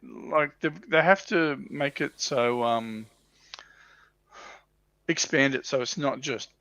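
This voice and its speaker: A man's voice: medium pitch, slow pace, pushed and bright, dry recording. A man co-hosting a livestream, talking casually about a hobby over a dull microphone. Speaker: livestream co-host. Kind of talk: casual hobby chat about tabletop wargames.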